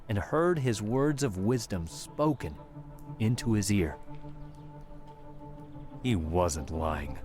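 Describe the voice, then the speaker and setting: Male narrator, deep pitch, dramatic audiobook storytelling, scripted, music underneath.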